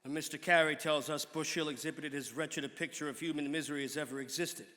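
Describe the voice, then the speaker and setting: Higher-voiced man declaiming in an echoing hall. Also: fairly even intonation, fast talker, bright, forceful tone. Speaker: actor giving a dramatic reading. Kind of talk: dramatic reading of an 18th-century pamphlet. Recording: long hall reverb.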